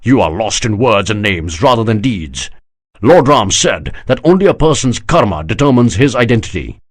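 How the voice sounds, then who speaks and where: A male narrator plays a proud army general in an audiobook, scornful and unbending. The voice is husky with a lively, swinging pitch. He speaks with flat certainty. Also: moderate pace. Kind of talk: audiobook character voice.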